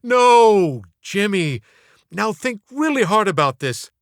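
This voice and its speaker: A man voicing an earnest, exasperated female Sunday school teacher in an audiobook, pleading with drawn-out emphasis. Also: high-pitched, sing-song, dry recording, clean studio sound, pitched above the performer's own voice, exaggerated voice. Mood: pleading, patient.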